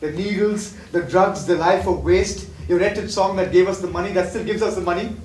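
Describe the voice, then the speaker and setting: A man's voice: high voice, fairly even intonation, moderate pace, a little mellow, natural voice. A man acting a sick, tormented addict confessing lost love in an impassioned, poetic stage-play style. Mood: bitter, anguished. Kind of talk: theatrical stage play dialogue. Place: theatre stage, recorded from afar. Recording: distant, echoey, noisy stage recording.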